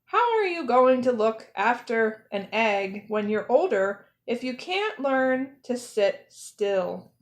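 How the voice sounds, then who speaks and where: Woman as a stern, slow-spoken penguin teacher. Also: fairly high pitch, big pitch swings. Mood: scolding. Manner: stern, admonishing. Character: scolding penguin schoolteacher.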